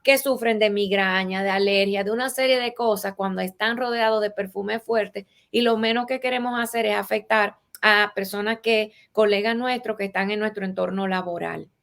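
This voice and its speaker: A woman's voice: mid-range voice, steady tone, normal speed, creaky, hardly any pauses, fairly bright tone. A woman with a Latin American Spanish accent, speaking as an expert guest in an interview and explaining rules with practical examples in a didactic way.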